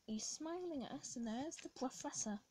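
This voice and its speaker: A hoarse woman, a children's channel host, reading a picture book aloud at home, halting and restarting often. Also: sits high, animated, dark tone, slight background noise. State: hoarse from a sore throat. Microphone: phone camera over the book.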